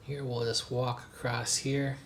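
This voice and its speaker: An easygoing man talks through a travel vlog in an unhurried, conversational tone at a middle pitch. He drifts along with plenty of small fillers as he shows places off, and his voice-over was recorded on the road in a noisy city. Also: little pitch movement, a bit bright. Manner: easygoing, chatty, frequent filler words. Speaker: travel vlogger, hotel reviewer. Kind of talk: casual travel vlog narration.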